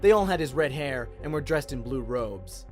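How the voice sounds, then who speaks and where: A man with an Australian accent giving an expressive, dramatic audiobook narration in radio-play style. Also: medium pitch, moderate pace, faint music behind. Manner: expressive storytelling.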